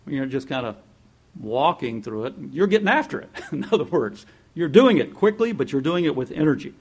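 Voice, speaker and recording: A man preaching a sermon in a lively, conversational way, often laughing and acting out what people might say. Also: fairly high pitch, a little fast, breathy edge. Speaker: pastor.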